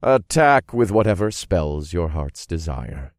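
A man voicing a smug, cruel dark lord in an audiobook, with a deliberate, growling, sneering manner. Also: deep voice, big pitch swings, no room sound, studio quality. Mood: commanding. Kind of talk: audiobook character voice.

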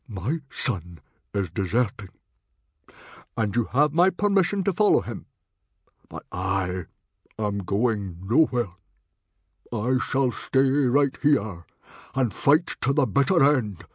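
A man's voice: lowish voice, very animated, slow, pauses often, slightly rough, no room sound, full character voice. A man voicing a furious, proud Viking chief and stern father, roaring and declaiming pompously in an audiobook. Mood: stern, dignified.

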